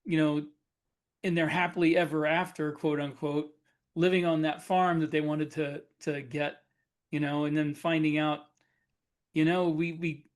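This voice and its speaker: Chatty man with a higher voice. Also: flat delivery, unhurried. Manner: chatty storyteller, laughs easily. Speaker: podcast co-host and author. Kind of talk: casual panel discussion.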